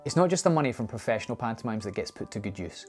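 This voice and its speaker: A man's voice: fairly low pitch, animated, very fast, music in the background. A young man delivering a scripted piece to camera as a presenter.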